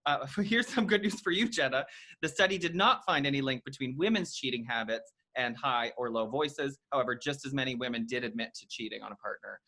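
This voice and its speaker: A man's voice: mid-range voice, fairly quick, sharp tone. A young man co-hosting a casual podcast chat, playful and teasing, laughing often. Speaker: co-host.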